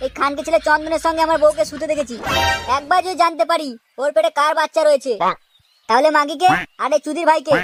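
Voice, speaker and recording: A brash young cartoon man acted out by a voice performer, pitched very high and talking fast. He is hot-headed and confrontational, forever complaining, over a music bed. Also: little pitch movement, close to an everyday voice. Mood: furious. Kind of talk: comedy cartoon dialogue in colloquial Bengali. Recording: music behind the voice.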